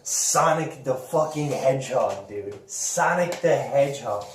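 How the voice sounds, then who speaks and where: A man's voice: mid-range voice, expressive, measured pace. A man co-hosting a podcast in casual, unscripted chat, speaking informally and emphatically, with phrases often restarted. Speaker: podcast co-host. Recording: echoey room, distant mic, noisy with clicks.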